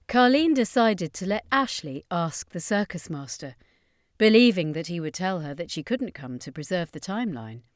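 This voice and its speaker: A woman's voice: on the low side, dry recording. Woman narrating fiction, close dry sound. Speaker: story narrator. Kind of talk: scripted audio fiction narration.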